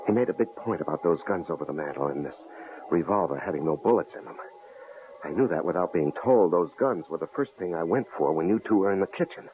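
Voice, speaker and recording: An indignant protective husband. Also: male voice, sits low, animated, fast. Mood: earnest. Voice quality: earnest, indignant. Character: protective middle-aged husband, stubborn and brave. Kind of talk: 1940s radio acting. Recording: tinny old radio broadcast with audible hiss.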